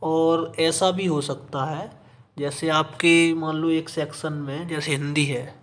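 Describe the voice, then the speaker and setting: Higher-pitched man explaining. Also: steady tone, slow. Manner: repeats phrases for emphasis, Hindi mixed with English terms. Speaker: exam coaching teacher. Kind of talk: explanatory lesson, reading and explaining an official notice.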